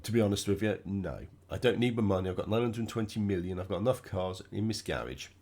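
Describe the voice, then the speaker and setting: A man with a cold, sounding croaky and stuffed up, chatting casually through his own racing gameplay. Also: fairly low pitch, fast. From United Kingdom. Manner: relaxed, chatty, thinking aloud while driving. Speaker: gaming channel host.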